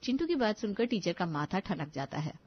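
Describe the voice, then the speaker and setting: A woman narrates a family moral story in a mid-pitched voice. She reads scripted lines as a storyteller. The recording is slightly dull and lo-fi. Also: very animated, brisk, slightly rough. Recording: dull lo-fi audio.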